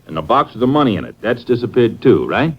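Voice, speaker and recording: A man's voice: medium pitch, big pitch swings, fast. An American man playing an exasperated, blustering police sergeant in a 1950s radio drama, scolding in sarcastic slang. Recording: dull vintage 1950s radio recording.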